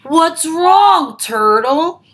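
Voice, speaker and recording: A woman voicing a friendly, laid-back cat from a children's storybook, in slow, drawn-out sing-song. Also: very high voice, expressive, fairly bright tone, voice pushed up, heavily acted. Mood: concerned.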